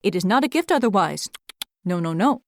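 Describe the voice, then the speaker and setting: A woman's voice: mid-range voice, expressive, fairly quick, no room sound, lightly acted. A woman voicing a busy, frantic male squirrel who fires off clipped questions.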